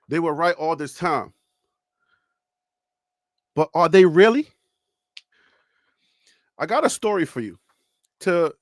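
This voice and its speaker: Chatty storytelling sports streamer. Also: male voice, high voice, no room sound, clean studio sound. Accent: Liberian. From Liberia. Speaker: host. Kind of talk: live-stream sports talk. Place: home studio.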